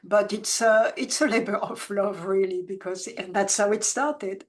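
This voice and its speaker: Soft-spoken woman in her seventies. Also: medium pitch. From France. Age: 70s. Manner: soft-spoken, halting with many restarts. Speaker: children's book author, guest. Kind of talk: reflective conversational interview.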